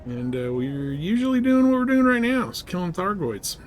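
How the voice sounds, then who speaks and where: A man's voice: high voice, big pitch swings, normal speed, a little hiss or hum. A man livestreaming casual, slangy gameplay commentary in a laid-back way.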